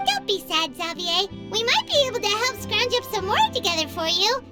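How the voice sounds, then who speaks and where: A tiny, cheerful fairy creature from an anime game, speaks in a very high, squeaky voice. The delivery is bubbly and polite, swooping freely, with music playing behind it. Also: female voice, very animated, normal speed. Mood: sweet, helpful. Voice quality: squeaky, childlike. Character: small cheerful childlike fairy companion and creature guide.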